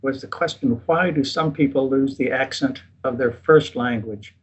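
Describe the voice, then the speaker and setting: Husky older man telling his story. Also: medium pitch, normal speed. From United States. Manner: relaxed, self-deprecating, chuckles at himself. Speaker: guest. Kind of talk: casual interview storytelling. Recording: dull lo-fi recording.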